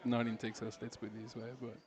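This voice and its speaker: French-accented man commentating pool. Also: mid-range voice. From France. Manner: relaxed, joking, halting with restarts. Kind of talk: casual live commentary.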